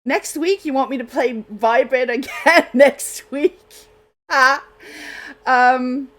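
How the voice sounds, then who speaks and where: A woman hosting a radio show with casual, unscripted chat, halting and apologetic as she goes. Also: high-pitched, fairly bright tone.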